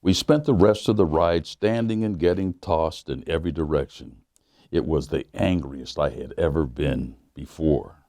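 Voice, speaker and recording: A man who is a pastor, reading a children's picture book aloud to young listeners in a measured, earnest way. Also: extremely low pitch, warm tone, no echo.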